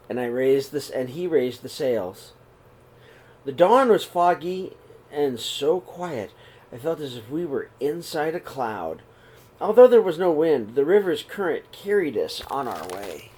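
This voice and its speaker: A middle-aged man reading a children's picture book aloud in a small room, slow and expressive. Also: fairly high pitch, breathy edge. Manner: reads slowly and expressively, voices the characters' calls. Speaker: storybook reader. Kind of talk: read-aloud storytelling for children.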